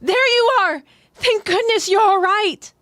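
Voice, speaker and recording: A woman's voice: extremely high pitch, a little slow, fairly bright tone, no room sound, clean studio sound. A woman voicing an earnest, urgent young female soldier in an anime story, calling out emotively. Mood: relieved, calling.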